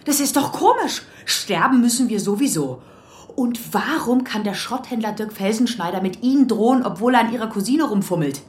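A woman's voice: fairly high pitch, expressive, normal speed, darkish tone, roomy sound, lightly acted. A woman playing a cheeky, persistent young police detective assistant in a crime radio drama. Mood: provocative, probing. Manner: provocative, pointed questioning.

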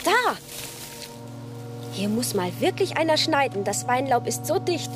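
Eager, high-voiced young daughter. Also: female voice, very animated, measured pace, over music. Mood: chatty, wistful. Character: eager, artless young upper-class daughter. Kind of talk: literary radio drama acting.

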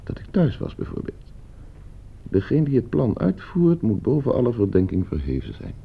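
An actor playing a coldly scheming gentleman heir in a radio play, suave, calculating and condescending. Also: lowish voice, wildly swooping pitch, normal speed, gravelly, slightly rough, heavily acted. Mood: smoothly calculating. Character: coldly scheming, broke gentleman heir plotting his uncle's murder. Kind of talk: Dutch radio play acting. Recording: muffled old radio play recording.

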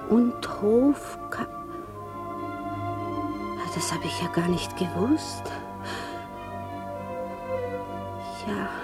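An actress playing a wistful young woman in a radio drama, musing haltingly to herself. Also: mid-range voice. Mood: wondering. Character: dreamy, wistful woman lost in her own thoughts. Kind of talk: radio drama interior monologue. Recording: distant microphone, music behind. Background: music.

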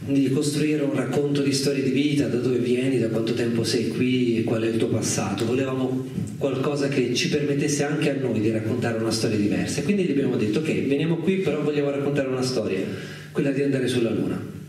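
A man's voice: medium pitch, brisk, darkish tone. Man in a very echoey room. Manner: hesitant, searching for words, reflective. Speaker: guest speaker, documentary filmmaker. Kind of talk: informal live talk, unscripted storytelling. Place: echoing indoor live radio event. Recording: echoey room, distant mic, faint noise.

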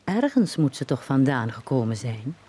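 Actor as dark-voiced, pensive village man. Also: medium pitch, very animated, moderate pace, a little hiss or hum, natural voice. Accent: Flemish. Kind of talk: solemn radio drama chorus narration.

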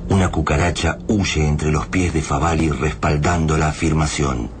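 A man's voice: extremely low pitch, steady tone, normal speed, noisy background. An Argentine man narrating a scripted radio drama in a grave, literary manner.

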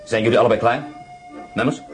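An actor playing a stern, scheming SS captain who gives curt commands in a radio play. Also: male voice, mid-range voice, steady tone, fast, soft background music, close to an everyday voice. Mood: commanding. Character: stern, scheming middle-aged male SS captain. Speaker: German prisoner-of-war officer. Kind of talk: radio drama acting.

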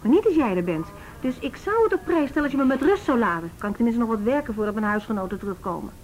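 A prickly young Dutch student from a radio play, acted by a woman, firing off teasing retorts in a high, swooping voice. She speaks with a Netherlands accent and talks very quickly. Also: lively intonation, a little mellow, faint music behind, lightly acted, rough recording. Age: young adult. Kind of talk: naturalistic radio drama dialogue.

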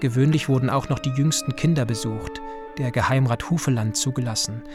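Formal royal husband played by an actor over music. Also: male voice, mid-range voice, fairly quick, natural voice. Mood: matter-of-fact. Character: methodical, formal royal husband recalling his wife. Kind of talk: dramatized memoir reading in radio drama.

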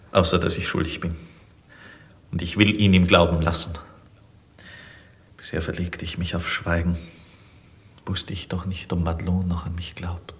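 A stoic, devoted young prisoner with a low voice. He speaks gravely and with measured defiance, in old-fashioned German radio drama style. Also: a bit bright. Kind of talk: 1950s German radio play acting.

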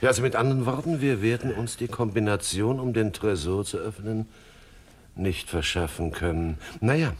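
An actor playing a suave, jovial gang leader in a radio crime comedy, genteel and wordy, with chuckles and mock politeness. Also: male voice, lowish voice, moderate pace, darkish tone, slightly rough. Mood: calm, scheming. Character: jovial, suave male crime boss running a front agency. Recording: faint noise on 1970s radio production.

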